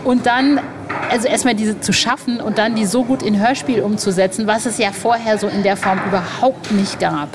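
A woman's voice: mid-range voice, steady tone, normal speed, background noise. A woman hosting an interview in casual, colloquial, enthusiastic chat, gushing as she talks. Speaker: podcast host and interviewer.

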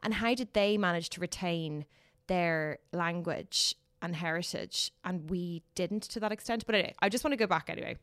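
A woman's voice: fairly low pitch, normal speed, hardly any pauses, fairly bright tone, dry recording, clean studio sound. A woman with an Irish accent co-hosting a podcast, asking chatty, rambling questions. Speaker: podcast co-host. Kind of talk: casual interview chat.

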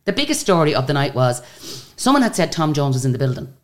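Very deep-voiced Irish woman telling stories. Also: fast. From Ireland. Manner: animated, chatty storyteller. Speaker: guest singer. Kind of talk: casual interview storytelling.

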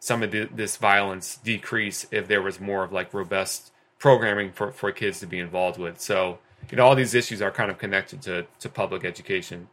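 A man with a low, bright, forceful voice speaks earnestly as a guest in a conversation. He talks in long runs full of fillers and restarts. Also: steady tone, a little fast, few pauses. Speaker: guest teacher. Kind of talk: conversational discussion.

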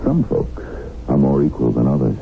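A man's voice: extremely low pitch, big pitch swings, moderate pace, vocal fry, heavily acted. An actor playing a coolly defiant male prisoner in a radio drama, wry and skeptical. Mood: wry, challenging. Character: sardonic male captive facing death, coolly defiant. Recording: dull, very noisy vintage radio broadcast.

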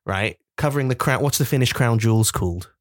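A man's voice: mid-range voice, fast, dry recording, clean studio sound. A man co-hosting a podcast, joking and rambling in casual chat. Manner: joking, rambling, mock-ignorant. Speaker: podcast co-host.

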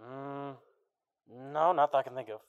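A man's voice: medium pitch, normal speed, clean tone, dry recording. A man voicing a hesitant male greenskin who answers a call and keeps stalling with "uh". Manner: hesitant, full of "uh"s. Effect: phone line. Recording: tinny dry phone line.

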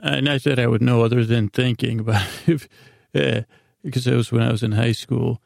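Male sleep-podcast host, very heavy vocal fry, mid-range pitch, halting and meandering, recorded close and dry. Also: fairly even intonation, darkish tone, no echo. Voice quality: gravelly, creaky. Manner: meandering, halting, tangent-prone. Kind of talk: rambling bedtime monologue.

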